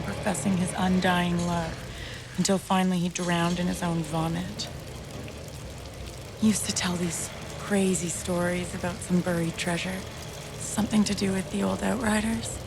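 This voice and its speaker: An actress playing a wry, easygoing older barkeeper telling tales in a video game cutscene. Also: female voice, lowish voice, noisy background, natural voice.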